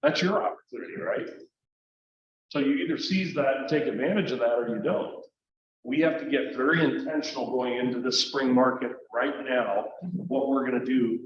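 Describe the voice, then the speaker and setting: A man's voice: medium pitch, moderate pace, a little hiss or hum. A man giving motivational advice to real estate agents, using rhetorical questions. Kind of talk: motivational talk. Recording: very echoey room, muffled sound.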